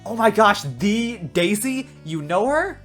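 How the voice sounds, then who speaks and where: A man's voice: sits high, takes it slowly, voice pushed up, full character voice. Casting: eager, easily flustered young policewoman played by a man, raised voice, storybook read-aloud with music behind. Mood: starstruck, excited. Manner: stammering when flustered, gushing when excited.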